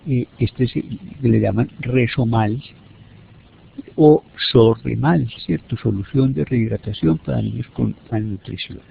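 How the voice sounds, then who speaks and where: A man's voice: mid-range voice, a little slow, vocal fry, a little hiss or hum. Colombian man lecturing over a phone-like line. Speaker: medical lecturer. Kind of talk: teleconference lecture, explanatory. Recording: narrow, phone-like teleconference audio.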